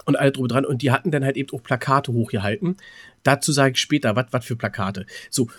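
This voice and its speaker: A man's voice: mid-range voice, fast, a bit bright, no room sound. Guy on a podcast telling a rambling story, does the dialogue bits, mid voice, super dry recording. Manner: rambling, re-enacts remembered dialogue. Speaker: podcast co-host. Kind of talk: casual chat, telling an anecdote.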